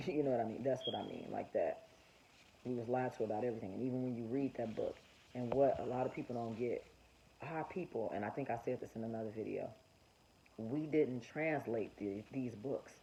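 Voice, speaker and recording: Male vlogger, gravelly warm voice, off-the-cuff rambling monologue, muffled lo-fi recording with faint hiss. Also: medium pitch, brisk. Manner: rambling, frequent fillers and restarts. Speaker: vlogger sharing religious dreams. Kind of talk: unscripted spoken monologue.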